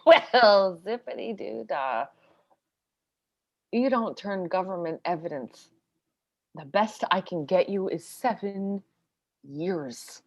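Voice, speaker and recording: A woman's voice: mid-range voice, lively intonation, slow pace, long pauses, muffled recording. A woman acting an exasperated, combative military defense lawyer in a stage play read-through, pressing hard with sarcastic questioning.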